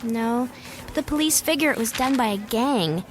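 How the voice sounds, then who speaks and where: High-voiced, very expressive small-town woman, played by an actress, earnest and puzzled, audio drama. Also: moderate pace, a little hiss or hum, natural voice. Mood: troubled, puzzled.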